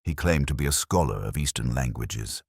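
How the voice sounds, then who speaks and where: A man's voice: rumbling low, expressive, normal speed, slightly rough, no echo, studio quality, heavily acted. A man voicing a nervous, earnest lodger who speaks respectfully and uneasily in an audiobook story. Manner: hushed, urgent, respectful. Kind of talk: audiobook detective story dialogue.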